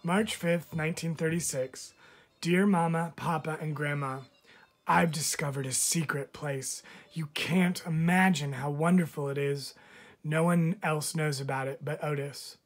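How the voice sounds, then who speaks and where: A man, a teacher, reads a picture book of letters aloud with expressive, measured phrasing. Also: fairly high pitch. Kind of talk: storybook read-aloud of letters.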